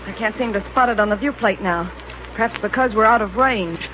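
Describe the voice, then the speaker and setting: A woman's voice: mid-range voice, a little fast, natural voice. An actress playing the sceptical female accomplice of a space villain, cool and needling, in 1930s radio serial style. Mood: cool, doubtful. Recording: dull, faintly noisy 1930s radio recording.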